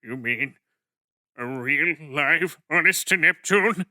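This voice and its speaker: A man's voice: a little high, slow pace, pushed and bright, no room sound, studio quality, full character voice. A man voicing a tiny, pompous male cartoon villain with grandiose, melodramatic wording, in a comic fan dub. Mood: ranting.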